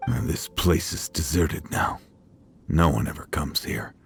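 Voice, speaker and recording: An actor voicing a weary, regretful old village man in a video game, speaking haltingly. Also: rumbling low, soft background music. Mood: shaken, hesitant. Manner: halting, hesitant.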